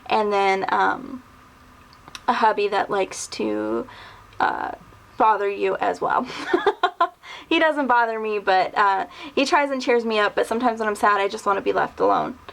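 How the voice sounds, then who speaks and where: A woman's voice: medium pitch, measured pace, gravelly, a bit bright. A woman in her twenties chatting casually to camera, rambling through a list of questions with lots of fillers. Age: 20s. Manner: rambling, chatty, lots of ums and little laughs. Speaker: vlogger. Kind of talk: casual tag video answering questions.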